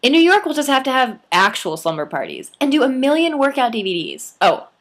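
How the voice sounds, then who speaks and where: A woman's voice: high voice, wildly swooping pitch, a little fast, voice pushed up, full character voice. A woman doing an upbeat young actress friend. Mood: cheerful.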